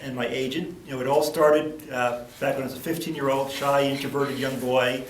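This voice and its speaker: A man's voice: medium pitch, level tone, brisk. A man giving a joking acceptance speech as an award-winning puppeteer in an echoey room. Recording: echoey room, clear background noise.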